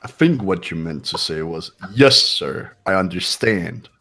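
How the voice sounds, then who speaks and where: A man voices a haughty young genius with a low-pitched voice. He talks formally and gives orders with smug condescension, in the manner of a video game rival, with music playing underneath. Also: animated, measured pace, sharp tone, exaggerated voice. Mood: condescending. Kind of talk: amateur voice acting in a game playthrough.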